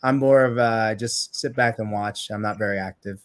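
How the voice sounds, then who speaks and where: A man's voice: on the low side, fairly even intonation, normal speed. A young man from Trinidad and Tobago, a podcast guest chatting in a friendly, upbeat way with many "like" fillers over a video call. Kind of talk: casual interview chat. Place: home room with plain walls.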